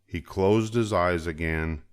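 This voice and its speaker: Deep-voiced older man reading a storybook. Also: slow pace, clear, resonant tone. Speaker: storybook reader. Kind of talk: children's story read-aloud narration.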